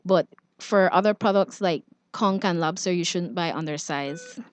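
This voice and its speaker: A woman's voice: lowish voice, normal speed, a little hiss or hum. A woman speaking casually and explaining things as a guest judge on a radio show. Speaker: studio guest and quiz judge.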